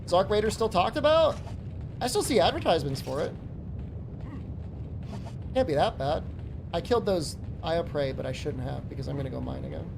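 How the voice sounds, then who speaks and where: A man in his forties talks to his stream chat as he plays. His pitch moves a lot, so he sounds lively. He is crude and jokey, slipping into mock-furious tirades at viewers. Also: a little fast, creaky, long pauses, noisy background. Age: 40s. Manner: profane, joking rants at chat. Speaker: game streamer. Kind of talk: live-stream chat while gaming.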